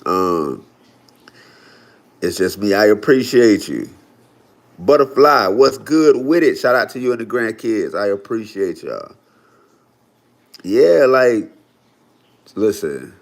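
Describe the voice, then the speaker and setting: A man's voice: on the low side, animated, takes it slowly, pauses often. A man, talking casually and loosely to viewers on a livestream. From Florida. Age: 40s. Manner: loose, chatty, lots of "y'all" and "right?". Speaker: livestream host.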